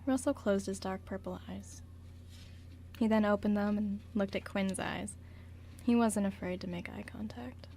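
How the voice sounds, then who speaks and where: A woman reading scripted radio drama narration in a solemn, measured storytelling manner. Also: mid-range voice, steady tone, mellow, a little hiss or hum.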